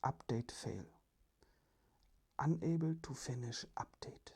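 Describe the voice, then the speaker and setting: A storyteller plays a polite little robot in a whispered ASMR tale. The voice is very breathy and unhurried, with precise, formal phrasing and a playful, childlike temper. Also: mid-range voice, a little mellow, full character voice. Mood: polite, mechanical.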